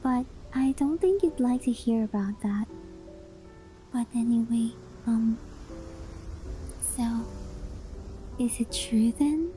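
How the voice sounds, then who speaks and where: High, soft, mellow doting kitsune wife, acted by a woman. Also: takes it slowly, pauses often, soft background music, low-quality recording. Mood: adoring. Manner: coy, hesitant, affectionate, softly breathy with whispered phrases. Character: doting, clingy, obsessive young kitsune fox-spirit wife. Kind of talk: ASMR roleplay, intimate sleep-aid monologue.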